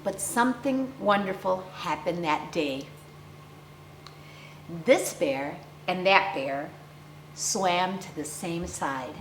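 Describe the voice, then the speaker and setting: A woman storyteller reading a picture book aloud to young children with theatrical, deliberate phrasing and dramatic emphasis. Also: fairly low pitch, animated, slight background noise. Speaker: children's storyteller, clown character host. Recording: roomy echo, faint music behind.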